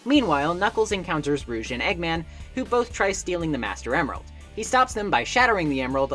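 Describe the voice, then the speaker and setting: A woman's voice: deep voice, fairly bright tone. A woman narrating a scripted video essay in a wry, conversational and articulate way. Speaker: video essayist, game reviewer. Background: background music.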